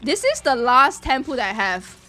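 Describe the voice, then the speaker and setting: A woman chatting casually on a live game stream, reacting excitably and repeating her phrases. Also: high voice, moderate pace, soft background music.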